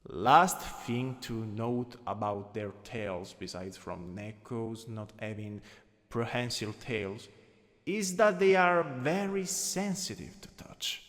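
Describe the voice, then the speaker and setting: A man with an Italian accent voicing a teasing, lowkey dominant anatomy lecturer in an ASMR roleplay. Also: takes it slowly, fairly bright tone. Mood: instructive, playful. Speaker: ASMR voice actor. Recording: long reverberant hall echo.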